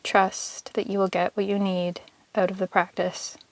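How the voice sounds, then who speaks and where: A woman leading a guided yoga nidra meditation as the instructor, speaking in a calm, measured, soothing way with long pauses. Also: medium pitch, no echo.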